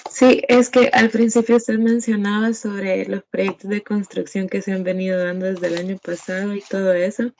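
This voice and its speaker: A woman's voice: mid-range voice, level tone, moderate pace, hardly any pauses, darkish tone, a little hiss or hum. A young woman, a student hesitantly asking a question in class. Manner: hesitant, many fillers and restarts. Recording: dull lo-fi audio.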